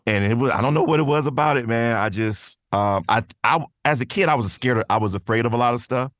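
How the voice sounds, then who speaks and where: A man's voice: mid-range voice, fast, a bit bright, dry recording, clean studio sound. A man chatting casually as an interview guest, rambling and enthusiastic, often saying "man" and "you know". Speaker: podcast guest, voice actor.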